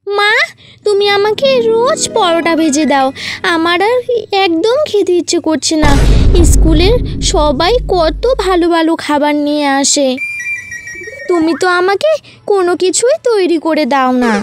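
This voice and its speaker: A woman's voice: extremely high pitch, expressive, measured pace, over music, voice pushed up. A woman voicing a naive, complaining young bird schoolchild in a cartoon story. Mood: whiny complaining. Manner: pleading, complaining.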